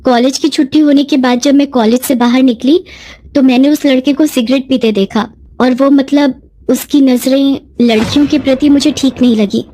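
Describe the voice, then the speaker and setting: A woman voicing a gentle, dutiful young village cousin in a moral story, earnest and respectful. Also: sits high, fairly even intonation, normal speed, poor audio. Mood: hesitant, worried. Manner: soft-hearted, earnest, respectful.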